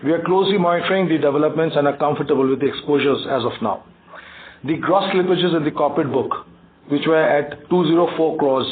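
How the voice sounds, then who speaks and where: A man with an Indian accent reads a prepared financial briefing as a bank executive, haltingly, stumbling over and repeating words. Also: on the high side. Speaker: bank executive on an earnings call. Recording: tinny phone conference line, faint noise.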